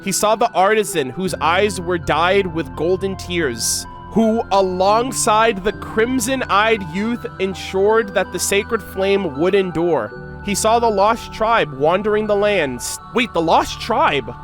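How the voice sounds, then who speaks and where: A man giving excitable, slangy reaction commentary as a gaming creator, mixing in read-aloud game lore. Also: high-pitched, takes it slowly, music in the background. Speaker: gaming content creator, host.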